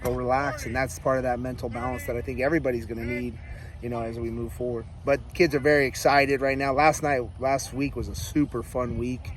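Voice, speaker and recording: A man of about forty, a high school coach, giving casual interview answers. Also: little pitch movement, a little fast, slight background noise. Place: outdoors by a sports field. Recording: outdoor field recording with background voices.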